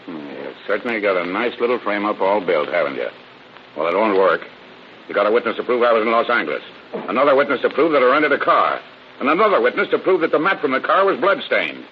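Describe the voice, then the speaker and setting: An actor playing a defiant, sneering murder suspect in an old radio crime drama, blustering and combative. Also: male voice, fairly low pitch, sing-song, fast. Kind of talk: 1930s-40s radio crime drama acting. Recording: muffled, noisy old radio recording.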